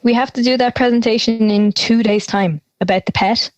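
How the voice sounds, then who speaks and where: A woman's voice: a little high, steady tone, moderate pace, a little mellow, no room sound, muffled recording, lightly acted. A young woman playing an anxious, exasperated teenage schoolgirl in conversational audio drama dialogue. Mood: worried, disbelieving. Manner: exasperated, worried. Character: anxious schoolgirl dreading a class presentation.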